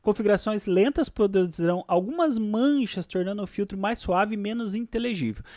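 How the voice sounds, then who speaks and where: A man with a Brazilian accent presenting a software tutorial, switching between read-out explanations and casual asides. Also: sits high, fairly quick, no room sound, clean studio sound.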